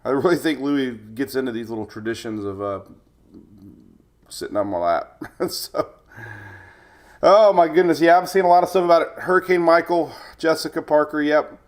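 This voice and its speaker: A man's voice: a little high, moderate pace. A man hosting a casual live weather stream, chatty, rambling and jokey, sounding slightly stuffed up with a cold as he greets viewers.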